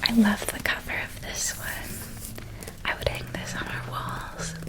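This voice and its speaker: A woman voicing a gentle, doting witch parent in a soft, breathy whispered ASMR roleplay, tender and softly enunciated. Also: a little slow, close to an everyday voice. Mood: gently admiring. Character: gentle doting witch parent helping a child pack for school.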